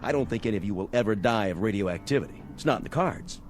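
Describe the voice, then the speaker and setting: An actor playing a mocking, domineering military major in a radio drama, with a sarcastic, slangy, order-barking delivery. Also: male voice, fairly low pitch, lively intonation, quick pace, a little mellow, close to an everyday voice. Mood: scornful.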